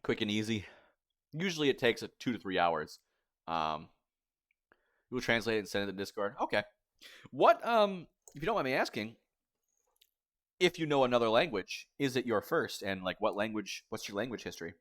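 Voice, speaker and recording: A young man in his twenties talks casually to his livestream chat, his voice sitting somewhat low. He rambles and circles back on himself as he answers viewers. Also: sing-song, normal speed, long pauses, fairly bright tone. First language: English. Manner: rambling, chatty, reads chat aloud. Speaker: streamer.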